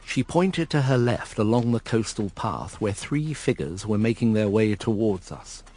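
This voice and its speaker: Low-voiced, wry English narrator. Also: male voice, animated, moderate pace, darkish tone. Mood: wry, reflective. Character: wry, reflective crippled man narrating his story. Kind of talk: first-person radio drama narration.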